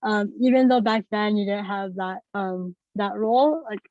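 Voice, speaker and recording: A woman's voice: medium pitch, moderate pace, no echo. A young adult woman moderating a student panel. She talks hesitantly, with many fillers and repeated words. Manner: hesitant, lots of ums and repeats. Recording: dull, dry video-call audio.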